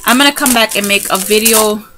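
A woman's voice: a little high, a little fast. Gushing woman vlogger showing craft supplies. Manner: gushing, excitable, chatty. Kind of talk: casual show-and-tell unboxing. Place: home room by a window. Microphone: camera in front of her, hands in frame. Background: TV and children's noise.

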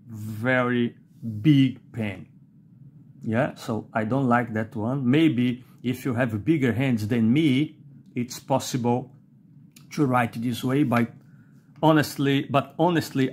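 A middle-aged man with a Brazilian Portuguese accent, not a native English speaker, reviewing a fountain pen at a desk, slow and halting with many "uh"s and a questioning "okay?". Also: medium pitch, slight background noise. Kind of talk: unscripted product review while writing. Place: indoors at a desk.

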